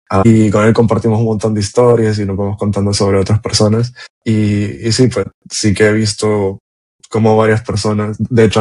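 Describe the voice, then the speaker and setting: A man hosting a podcast, talking in a relaxed, rambling way as he introduces his guest. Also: lowish voice. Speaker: podcast host. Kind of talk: casual interview talk.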